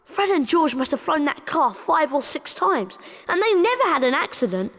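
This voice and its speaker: A woman with a British accent voicing an eager, excitable young schoolboy in a video game. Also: high-pitched, moderate pace, heavily acted. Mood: excited. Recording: game audio with long reverb.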